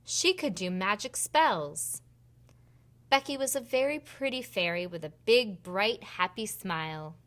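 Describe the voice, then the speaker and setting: A woman reading a picture book aloud for preschoolers in an expressive storytelling manner. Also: medium pitch, a little slow. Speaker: children's storybook reader and host.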